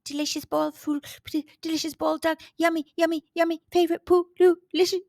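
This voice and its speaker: A woman voicing an eager young male dung beetle in a children's storybook, expressive and exclamatory. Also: very high-pitched, brisk, vocal fry, no room sound, studio quality, voice pushed up. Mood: singsong.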